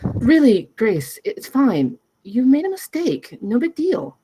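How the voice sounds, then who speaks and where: A woman's voice: on the high side, big pitch swings, slow, warm tone, slightly rough, heavily acted, low-quality recording. A woman playing a cool, outwardly forgiving servant in a period screenplay read, restrained and dismissive. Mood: coolly reassuring.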